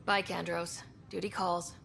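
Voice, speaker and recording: An actress playing an earnest, determined young space explorer in a video game, speaking directly and sympathetically. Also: female voice, fairly low pitch, level tone, measured pace, vocal fry, fairly bright tone, slight background noise, full character voice. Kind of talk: video game cutscene acting.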